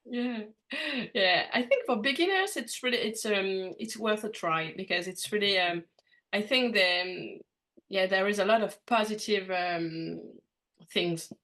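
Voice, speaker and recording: A woman hosting a podcast interview in English, which is not her first language, pausing often with fillers and restarts. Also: mid-range voice, fairly bright tone. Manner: hesitant, many fillers and restarts. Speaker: podcast host.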